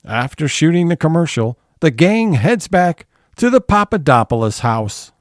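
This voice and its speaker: A man's voice: a little high, sing-song, moderate pace, dry recording, studio quality. A man reading scripted narration for an audio comedy serial.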